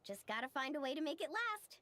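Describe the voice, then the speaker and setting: A woman's voice: high voice, big pitch swings, fast talker, pushed and bright, no echo, exaggerated voice. A woman voicing an outgoing, bossy young woman in a video game, bubbly, breezy and take-charge. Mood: cheerful. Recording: music behind. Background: game music.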